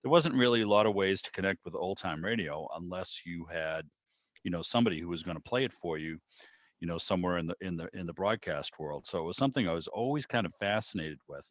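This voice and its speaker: A man's voice: deep voice, lively intonation, quick pace, no echo. A middle-aged man from the Boston area reminiscing in a chatty, rambling way on a video call. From Boston area, United States. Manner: chatty, peppered with "you know". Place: online video meeting. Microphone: video call microphone. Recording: dull video call audio.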